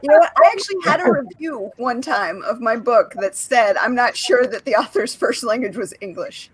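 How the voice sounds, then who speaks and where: A woman's voice: on the high side, lively intonation, moderate pace, breathy edge, dry recording, poor audio. A woman author hosting a chat show, talking candidly and animatedly over a video call. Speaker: podcast host, author. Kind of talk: casual chat.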